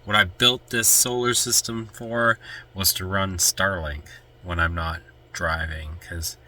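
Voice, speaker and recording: Man, deep voice, bright sharp tone, stuffy nose, rambling casual vlog. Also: fairly even intonation, slow, a little hiss or hum. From Canada. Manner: chatty, rambling, easily sidetracked. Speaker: van life vlogger. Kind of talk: casual vlog chat to camera.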